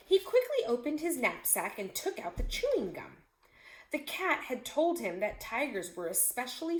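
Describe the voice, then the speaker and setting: A woman reading a children's story aloud with expressive, dramatic phrasing. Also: mid-range voice. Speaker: storybook reader. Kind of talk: children's read-aloud narration with brief asides about pictures. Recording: echoey room with faint noise.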